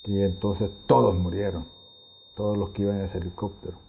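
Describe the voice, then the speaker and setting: A Salvadoran-accented man of mature years shares memories in a conversational interview. His voice is relaxed and mellow, and fairly low in register. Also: a little fast, creaky, a little hiss or hum. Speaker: interviewee giving testimony. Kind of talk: conversational recollection.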